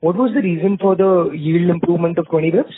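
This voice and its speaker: A man's voice: high-pitched, fairly even intonation, fast talker. A man putting unscripted questions as a financial analyst on a conference call. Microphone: telephone conference call. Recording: dry, narrow phone line.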